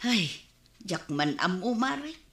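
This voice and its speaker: A woman's voice: mid-range voice, big pitch swings, slow pace, fairly bright tone, exaggerated voice. A woman playing a nosy, gossiping village neighbour with an Ilocano accent in a radio comedy drama. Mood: prying. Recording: roomy, echoing studio sound.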